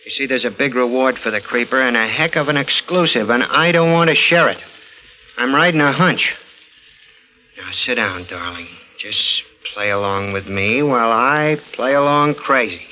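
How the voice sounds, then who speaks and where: An actor playing a bitter, jealous, hard-drinking snoop of a husband, sardonic and menacing, in theatrical 1940s radio melodrama style. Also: male voice, mid-range voice, expressive, moderate pace, bright, forceful tone, a little hiss or hum. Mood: sly, menacing. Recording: muffled 1940s radio recording with music.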